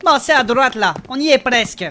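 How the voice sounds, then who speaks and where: A woman playing a vain, chatty, touchy adventurer with an excitable, colloquial manner in a comedic fantasy audio drama. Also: high-pitched, a little fast, slight background noise. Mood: practical.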